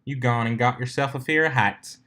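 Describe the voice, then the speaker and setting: A man's voice: medium pitch, fairly even intonation, a little fast, pushed and bright, lightly acted. A man voicing a confident, teasing country farm mare with folksy, drawling talk in a story reading.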